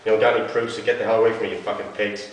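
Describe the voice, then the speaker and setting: A man's voice: lowish voice, little pitch movement, racing, lightly acted. A man voicing a defiant, frightened homeless young street kid in a dramatic story reading. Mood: defiant fury. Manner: pleading, then snarling and crude. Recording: echoey hall from far off, hiss.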